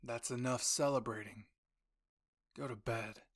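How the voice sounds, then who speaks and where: Man playing a stern, gravelly military captain. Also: mid-range voice, fairly even intonation, measured pace, no room sound, clean studio sound. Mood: curt, annoyed. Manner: curt, commanding.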